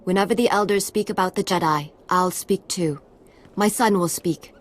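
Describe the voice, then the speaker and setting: A woman plays a gentle alien villager mother. Her voice is husky and medium in pitch, with a soft, solemn, grateful delivery for a game cutscene. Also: normal speed, natural voice. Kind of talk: video game cutscene acting.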